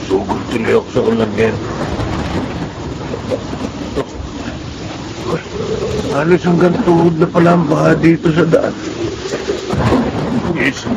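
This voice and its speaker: A man's voice: fairly high pitch, vocal fry, very noisy. A man acting in a Filipino radio drama as a domineering, hard-drinking father with a blustering, bullying manner. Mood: grumbling. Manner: bullying, blustering, quick to challenge.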